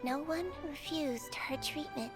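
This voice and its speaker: Game role: small childlike nonhuman nurse, very high, soft, calm and candid, over prominent music. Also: female voice. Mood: solemn storytelling. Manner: measured, gentle storytelling. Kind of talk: video game character dialogue and storytelling. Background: loud music.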